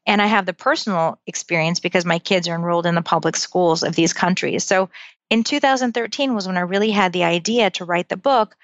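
A woman's voice: on the low side, fairly bright tone, dry recording. A woman in her forties, a guest author, answering interview questions with methodical, date-by-date storytelling. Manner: methodical, dates-driven storytelling. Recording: dull lo-fi audio.

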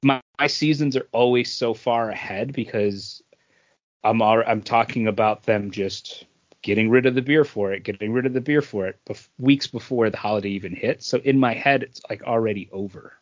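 A man's voice: medium pitch, normal speed, dry recording. Man in a dry, muffled podcast recording. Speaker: podcast co-host. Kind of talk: casual podcast chat. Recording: dull, lo-fi sound.

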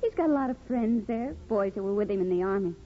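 An actress playing a soft-hearted, anxious young wife and mother in a 1950s radio drama. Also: mid-range voice, expressive, fast, lightly acted. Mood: worried, domestic. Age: young adult. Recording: muffled old radio with hiss.

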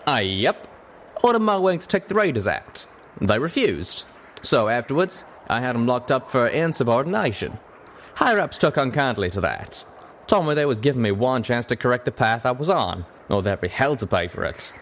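A young cowboy pegasus from an audio story, performed by a man, talks in a folksy Southern or Western American drawl. He is bashful and plain-spoken, pitched well above the narrator, with some noise behind him. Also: very animated, moderate pace. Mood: reluctant, plain-spoken. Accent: American South or West drawl.

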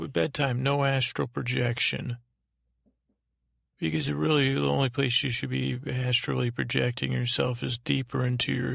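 Very creaky man, meandering bedtime rambler. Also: medium pitch, no room sound, clean studio sound. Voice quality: creaky, gravelly. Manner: meandering, halting, digressive; lulling, soothing. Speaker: podcast host. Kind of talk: rambling bedtime monologue to lull listeners to sleep.

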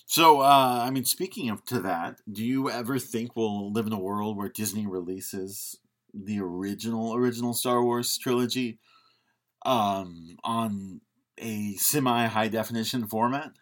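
A man's voice: on the low side, fairly even intonation, a little slow. A man co-hosting a podcast, chatting about film in a joking, conversational manner. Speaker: podcast co-host. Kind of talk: casual film discussion.

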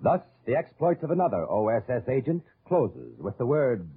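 A male announcer delivers scripted, dramatic old-time radio narration. His medium-pitched voice moves with lively emphasis. Loud music swells behind a dated, narrow-band sound. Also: moderate pace.

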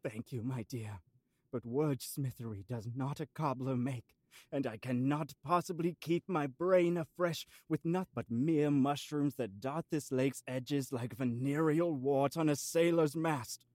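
A man's voice: mid-range voice, unhurried, a little mellow, no echo, clean studio sound, lightly acted. Man playing a pompous, florid goat orator. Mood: grandly proclaiming. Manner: pompous, archaic, theatrical declaiming. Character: grandiose old she-goat orator, hungry and florid. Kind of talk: audio drama acting.

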